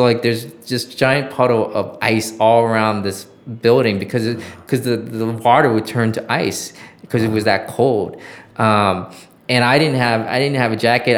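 A middle-aged American man telling stories as an interview guest, reflective and often searching for words. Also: medium pitch. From Southern California. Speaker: interview guest, former journalist. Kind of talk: conversational interview storytelling.

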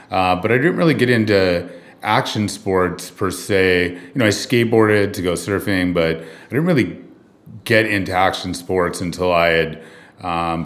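A man with a very low voice talks through his life story as an interview guest. He is from Southern California, and his relaxed answers are full of ums and uhs. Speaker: guest filmmaker.

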